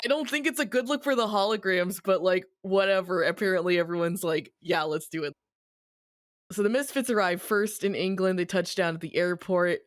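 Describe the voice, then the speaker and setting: A podcast host with a medium-pitched voice, recorded dry and close. The delivery is slangy and wry, like someone animatedly retelling a story to friends. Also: fairly quick, dry recording. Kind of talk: casual recap discussion of a story.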